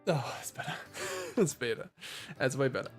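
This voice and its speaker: A man hosting a livestream, chatting casually with viewers in an animated, joking, sweary way. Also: medium pitch, moderate pace, faint music behind. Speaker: livestream host, voice actor. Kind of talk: casual chat with viewers, reading comments.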